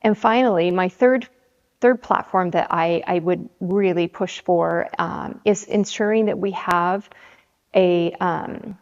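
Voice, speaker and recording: A woman from the Seattle area, a candidate in her forties, answering interview questions in a measured way with frequent ums. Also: lowish voice. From Seattle area, USA. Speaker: city council candidate. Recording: dull audio with long hall reverb.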